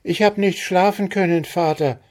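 A man's voice: high voice, moderate pace, a little mellow, voice pushed up, close to an everyday voice. A man voicing a young farm girl in a storybook reading, eager and secretive, with a lifted, light voice.

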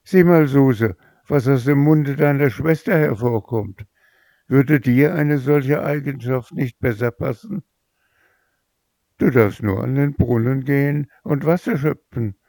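A man voicing a greedy, scolding mother who shrieks and fusses, in a slow, gravelly storybook reading. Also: mid-range voice, no room sound, pitched above the performer's own voice. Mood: astonished eager. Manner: shrieking, excitable.

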